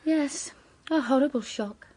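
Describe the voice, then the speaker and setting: An actress playing a coolly amused, seductive and remorseless murderess in a radio drama, with a silky, mocking delivery. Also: female voice, high voice, unhurried, warm tone.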